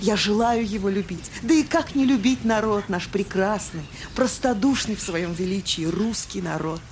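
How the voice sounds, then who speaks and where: An actress playing an effusive, sentimental society lady in a Russian audio drama, with a halting, melodramatic delivery. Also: medium pitch, a little mellow, slight background noise, lightly acted. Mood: rapturous.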